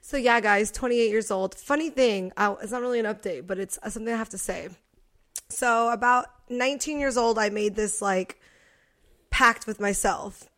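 Podcast co-host, woman, late 20s, bright higher pitch, giggly and chatty. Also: moderate pace. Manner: giggly, chatty, laughs often. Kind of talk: casual chat.

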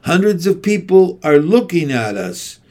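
Fussy farm wife, fretful and scolding, high voice, a male reader putting her on. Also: very animated, measured pace, a little mellow.

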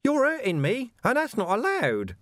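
Man doing a high, cheeky, grinning crook. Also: huge pitch swings, moderate pace, fairly bright tone, no echo, studio quality, heavily acted. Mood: mocking. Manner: taunting, mocking. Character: cheeky, chirpy, menacing male criminal. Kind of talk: audiobook character voice.

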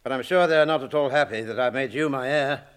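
An older English man playing a fussy, genial hobbit gentleman in a radio drama, chatty, polite and full of exclamations. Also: mid-range voice, quick pace, clear, resonant tone, close to an everyday voice. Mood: hospitable.

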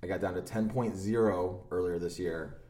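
A man co-hosting a podcast in casual chat, laid-back and a little halting, recorded in a roomy, echoey space. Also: sits low, mellow. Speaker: podcast co-host. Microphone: own podcast microphone.

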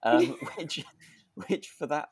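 A man's voice: on the high side, lively intonation, slow pace, husky, no echo. Guy on a podcast chatting casually, kinda rambly and hedgy, voice goes up and down a lot, dry close recording. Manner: chuckling, rambling, hedging with "kind of" and "sort of". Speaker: podcast co-host. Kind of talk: casual chat reviewing a radio drama.

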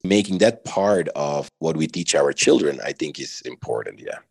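A man in his thirties from Belgium, answering interview questions in a measured, thoughtful way on a podcast. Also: extremely low pitch, animated, gravelly, darkish tone, husky, no echo. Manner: measured, thoughtful, often adds "right?". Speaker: podcast guest.

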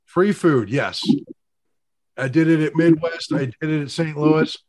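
Blunt man with a higher voice. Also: a little slow, a little mellow, dry recording. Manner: blunt, wry complaining. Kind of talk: casual chat.

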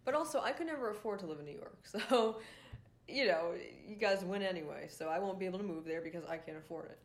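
A woman's voice: fairly low pitch, lively intonation, fast. A young woman from Rhode Island hosting her own podcast, ranting casually with a nasal, congested voice, full of fillers and swearing. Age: young adult. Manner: frustrated, swears often, many fillers. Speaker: podcast host.

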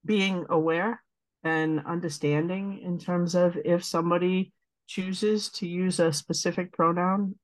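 A woman with a deep voice, a guest in an interview, speaking earnestly and thoughtfully in long winding sentences. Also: steady tone, a little slow, dry recording. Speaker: guest, advocate. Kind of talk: reflective interview conversation.

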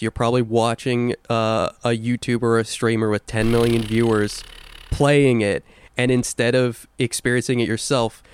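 A man's voice: mid-range voice, clean tone, no echo. A young man co-hosting a casual video game podcast, opinionated and swearing freely. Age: young adult. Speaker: podcast co-host. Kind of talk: casual chat about video games.

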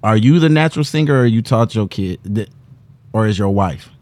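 A man's voice: fairly low pitch, animated, brisk, darkish tone. A man in his forties hosting a show with casual, slangy, joking talk and interview questions. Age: 40s.

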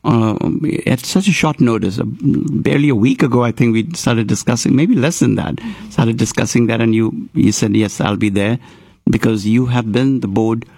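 A man's voice: mid-range voice, normal speed, vocal fry, a little mellow, breathy edge, no echo. A man hosts a community talk show, speaking earnestly and wandering through his points with frequent restarts. His voice sits in a middle range for a man, recorded dry and close with no room sound. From grew up in Houston. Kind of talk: interview.

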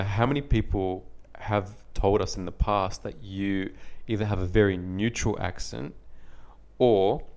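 A man's voice: fairly low pitch, a little mellow. A man from Australia co-hosting a podcast, chatting in a friendly, reflective way. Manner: friendly, reflective, easygoing storytelling. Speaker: podcast co-host. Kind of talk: casual podcast chat.

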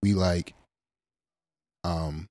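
A man's voice: low-pitched, steady tone, very slow, dark tone, dry recording. A man in his late twenties, co-hosting a podcast in a laid-back, slangy, teasing way. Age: 20s. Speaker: podcast co-host. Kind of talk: casual chat.

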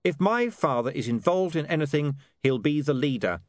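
Man playing an earnest, bitter young gentleman, higher pitched. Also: normal speed, dry recording, studio quality, lightly acted. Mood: flat, bitter. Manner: flat, clipped, emphatic.

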